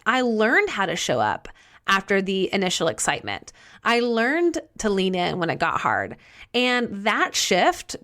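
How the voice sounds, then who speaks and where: A middle-aged woman hosts a solo podcast in an earnest, conversational way, slowing down to stress key phrases. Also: mid-range voice, a bit bright. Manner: earnest, conversational, with many restarts and some slow, emphatic phrasing. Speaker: podcast host and life coach.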